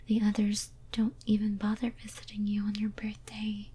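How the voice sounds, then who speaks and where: A woman's voice: medium pitch, completely flat delivery. A woman playing a lonely, devoted, possessive female demon in an ASMR roleplay, whispering tenderly and intimately. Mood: tender, yearning. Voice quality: soft breathy whisper. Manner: tender, clingy, intimate, slow and halting.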